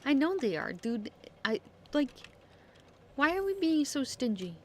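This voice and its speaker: A woman's voice: high voice, sing-song, unhurried, a little hiss or hum. A woman game streamer chatting casually over gameplay with rambling asides.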